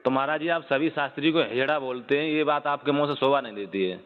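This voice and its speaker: A man speaks at a middling pitch in a phone dispute. He is persistent and reproachful, though his wording stays deferential. The recording is muffled and has a long, hollow reverb. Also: fast talker. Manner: persistent, reproachful yet respectfully addressed. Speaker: caller. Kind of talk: phone argument.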